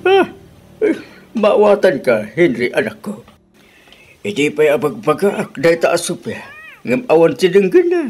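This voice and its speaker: A high, very animated older father-in-law in an Ilocano radio drama. He is nosy and admonishing, his pitch swooping as he talks in an Ilocano accent. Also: moderate pace, pauses often, slightly rough, heavily acted.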